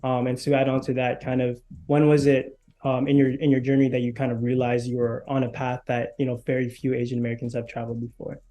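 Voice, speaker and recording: A man's voice: mid-range voice, flat delivery, fast, hardly any pauses, mellow. A male host running an interview, asking long, rambling questions full of "you know.". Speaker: interviewer, media outlet host.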